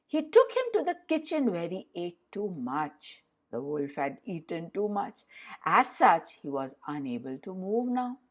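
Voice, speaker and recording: A woman storyteller narrating a fairy tale for children in an expressive way, addressing her listeners directly. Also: lowish voice, measured pace.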